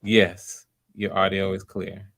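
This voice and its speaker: A man's voice: low voice, completely flat delivery, slow, vocal fry, a bit bright, husky. A man hosting auditions in a casual, encouraging way, often repeating his words. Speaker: audition host.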